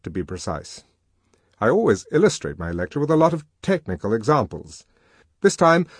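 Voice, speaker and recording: A man's voice: medium pitch, slightly rough, no echo, studio quality, pitched above the performer's own voice. A man playing a buoyant, reckless male lecturer and poet in an audiobook, with an amused, emphatic way of talking. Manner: animated, emphatic, amused storytelling. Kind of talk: audiobook character dialogue.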